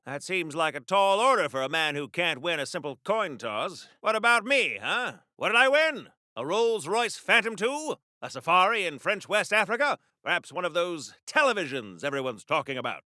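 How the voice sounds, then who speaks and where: Hammy, high-pitched 1940s patriotic superhero. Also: male voice, pushed and bright, dry recording, studio quality. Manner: theatrical, declamatory, old-fashioned hero diction. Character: pompous, patriotic 1940s war-veteran superhero, hammy and earnest. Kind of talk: old-time 1940s radio serial acting.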